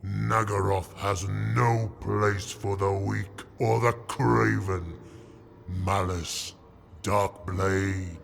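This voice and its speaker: A man voicing a cruel, imperious armored dark-elf overlord in a slow, threatening, rumbling growl for a fantasy audiobook. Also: fairly even intonation, a little hiss or hum, exaggerated voice. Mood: contemptuous menace. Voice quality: deep rumbling gravelly growl. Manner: slow, threatening, pompous pronouncements.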